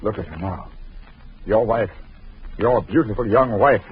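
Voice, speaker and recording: A man's voice: lowish voice, a little slow, slight background noise, close to an everyday voice. An actor playing a desperate, murderous young man in an old radio drama, frantic and accusing. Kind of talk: 1940s radio acting. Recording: tinny old radio recording.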